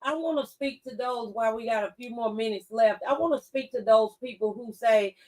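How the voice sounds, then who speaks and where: A woman's voice: fairly high pitch, fairly quick, darkish tone, distant mic, rough recording. A woman hosting a talk show, speaking conversationally and earnestly and repeating phrases for emphasis.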